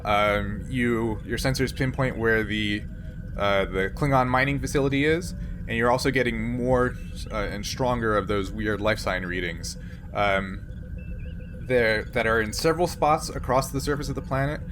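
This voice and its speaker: A man's voice: mid-range voice, moderate pace, noisy background. A man acting as game master, narrating and explaining a tabletop game in an informal way.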